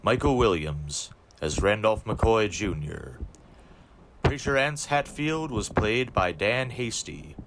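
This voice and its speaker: A man giving a formal, scripted announcement as he reads out credits in a measured way. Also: on the low side, lively intonation. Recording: clear background noise with clicks.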